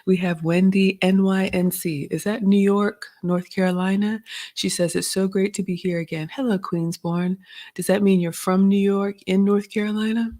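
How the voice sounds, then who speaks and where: A woman's voice: mid-range voice, steady tone, normal speed, warm tone. A woman in her forties, originally from Ghana, chatting casually as host of a live Q&A and reading out viewer comments. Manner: chatty, reads viewer comments aloud, uses "y'all" and "you all".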